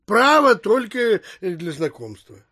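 An actor playing a bullish, stubborn provincial landowner in an audio drama, haggling and boasting emphatically. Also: male voice, high-pitched, big pitch swings, sharp tone, no echo, clean studio sound, exaggerated voice. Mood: pushy bargaining. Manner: emphatic, blustering, drawn-out boasting. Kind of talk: theatrical audio drama acting in Russian classic.

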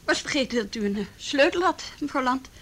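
A woman's voice: sits high, lively intonation, a little fast, fairly bright tone, slight background noise. An actress playing a polite, uneasy younger woman in a radio drama, hesitant and deferential.

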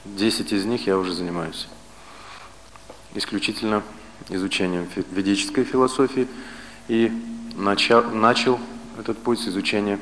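A man in his late thirties giving a philosophy lecture, speaking in a measured way with pauses and restarts. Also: fairly low pitch, fairly even intonation, fairly bright tone, big-room sound, a little hiss or hum, low-quality recording. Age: 30s.

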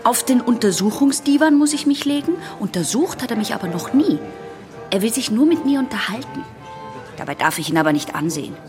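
A woman's voice: on the high side, animated, a little fast, music in the background, natural voice. An actress playing an anxious teenage girl patient in a German radio play, hesitant, secretive and intense. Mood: confiding.

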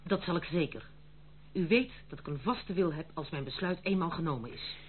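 A woman's voice: lowish voice, brisk, close to an everyday voice. An actress in a radio play playing a strong-willed young noblewoman, formal, firm and reproachful. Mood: firm, stern. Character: strong-willed, stern young noblewoman protecting her household. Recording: tinny, phone-like old radio play, slight hum.

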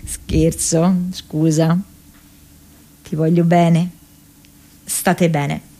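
A woman playing an earnest young activist in a radio play, speaking a letter home to her mother haltingly, searching for words. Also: low voice, little pitch movement, warm tone, heavily acted. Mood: wry, affectionate. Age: young adult. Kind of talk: dramatic monologue in radio theatre, reading a diary letter.

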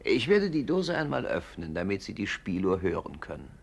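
An actor in a 1950s German radio play voicing a formal, imperious gentleman collector with a husky voice. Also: sits low, very animated, moderate pace, full character voice. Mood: courteous, cautioning. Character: suspicious, haughty English gentleman collector with weak heart.

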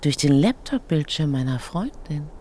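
An actress playing a matter-of-fact female schoolteacher in a radio play, with a creaky voice. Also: low voice, sing-song, normal speed, warm tone, a little hiss or hum. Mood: curious, frank. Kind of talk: radio play acting, narrated monologue and dialogue.

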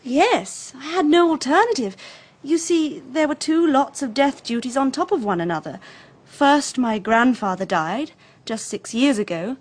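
A young upper-class English society woman in a radio mystery, her voice fairly high. She is airy and flippant, drawling her dismissive remarks with breezy unconcern. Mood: rueful, breezy. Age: young adult. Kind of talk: radio drama acting.

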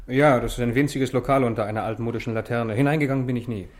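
An actor playing a brisk, obedient detective assistant in a German radio crime drama, heard in a roomy echo. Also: male voice, mid-range voice, steady tone, close to an everyday voice.

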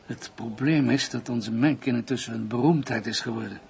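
A reserved police commissioner played by a male actor in a radio drama. His voice is of medium pitch, and he speaks correctly and carefully, in a measured, businesslike manner that commits to nothing. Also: creaky, darkish tone, a little hiss or hum, close to an everyday voice. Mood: matter-of-fact.

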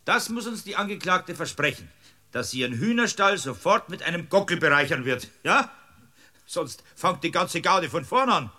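Bavarian judge, bright forceful voice a bit on the high side, bossy but wry, old-time courtroom radio comedy. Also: male voice, very animated, moderate pace, heavily acted. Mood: brisk, commanding. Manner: commanding, wryly amused. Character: brisk, wry Bavarian royal district judge.

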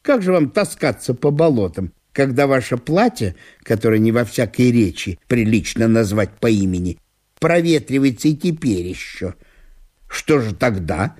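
Radio play: male actor as wheedling, dignified provincial gentleman, mellow but husky, very animated intonation. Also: medium pitch, normal speed, natural voice. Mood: persuasive, coaxing. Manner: ornate, courteous, persuasive. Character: smooth-talking, wheedling, dignified small-town gentleman, Ivan Ivanovich. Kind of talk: radio literary solo performance.

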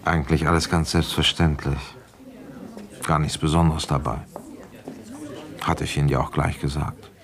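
An actor playing a weary, shrewd provincial policeman recounting an old case in a radio play, deliberate, conversational and wry. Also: extremely low pitch, level tone, vocal fry. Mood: wry, reminiscing. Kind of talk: German radio play, first-person narration and dialogue.

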